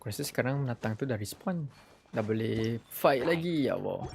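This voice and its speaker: A man's voice: medium pitch, expressive, fast talker, creaky, darkish tone. A Malaysian-accented man giving casual live game commentary, talking to himself in short slangy exclamations. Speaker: game streamer. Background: game music.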